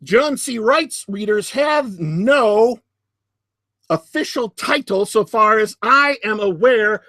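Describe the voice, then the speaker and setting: A man hosting a podcast, giving solo commentary in a deliberate, emphatic way with sardonic asides. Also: sits high, animated, sharp tone, dry recording, clean studio sound. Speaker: podcast host.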